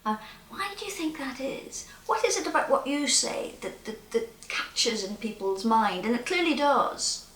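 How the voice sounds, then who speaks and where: Woman, interviewer, hesitant questions, slightly high voice, echoey room. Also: expressive, a little slow, a bit bright, slight background noise. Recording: roomy room, distant mic.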